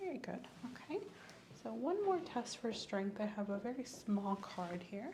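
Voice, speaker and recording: Woman, ASMR host as gentle clinician, hushed and whispery on a close mic, faint ambient hiss. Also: mid-range voice, big pitch swings, moderate pace. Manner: soft-spoken, gentle, often whispering. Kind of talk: ASMR roleplay.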